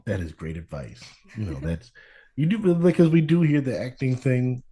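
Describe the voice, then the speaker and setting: A man's voice: medium pitch, sing-song, moderate pace, mellow, husky. A man hosting a podcast interview, asking loose, rambling questions. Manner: rambling, lots of filler and restarts. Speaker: podcast host. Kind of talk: casual interview chat.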